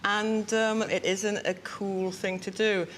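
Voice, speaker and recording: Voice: mid-range voice, little pitch movement, slow pace, fairly bright tone, slight background noise. An engineer in an informal interview, explaining things thoughtfully and encouragingly in plain steps.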